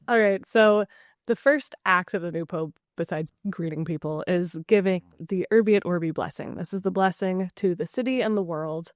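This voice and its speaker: A woman with a lowish voice hosting a podcast chat, moving the talk along briskly. The sound is dry and close to the mic. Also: dry recording. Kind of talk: casual discussion.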